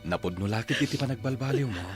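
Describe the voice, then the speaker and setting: An actor playing an earnest, forgiving brother in a radio drama, with an Ilocano accent. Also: male voice, medium pitch, quick pace, natural voice. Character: earnest, forgiving brother reconciling a broken family. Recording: prominent music behind the voice.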